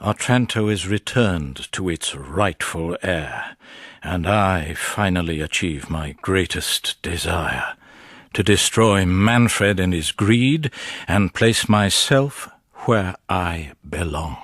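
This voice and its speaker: An actor playing a scheming, self-satisfied friar in a gothic radio drama, speaking in a theatrical, insinuating way with a husky voice. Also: male voice, deep voice, animated, slow pace, a bit bright, clean studio sound, exaggerated voice. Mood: smug, secretive. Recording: echoey radio play with music bed.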